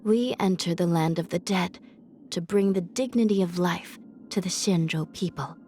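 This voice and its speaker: A woman voicing a gentle, melancholy young female judge of the underworld in a video game, speaking softly and breathily in a measured, pensive, formal way over music. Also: lowish voice, natural voice. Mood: solemn, measured. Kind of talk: scripted game character voice lines.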